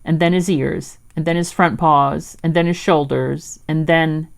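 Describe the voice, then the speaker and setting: A woman reading a children's storybook aloud as an expressive storyteller. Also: deep voice, unhurried, vocal fry.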